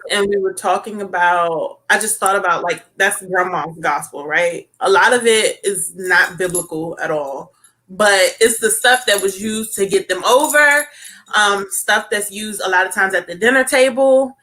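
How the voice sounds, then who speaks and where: A woman hosts a relaxed talk show, speaking with a bright, forward tone. She chats casually, tossing in fillers and asking "right?" as she goes. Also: medium pitch, a little slow, faint music behind, low-quality recording. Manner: chatty, with many fillers and tag questions. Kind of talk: casual chat.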